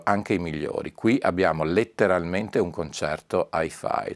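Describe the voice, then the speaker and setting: A man with a low-pitched voice hosts an announcement to his followers. He talks in a measured, discursive manner full of small asides. The sound is dry, with very little room. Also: dry recording. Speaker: host and presenter.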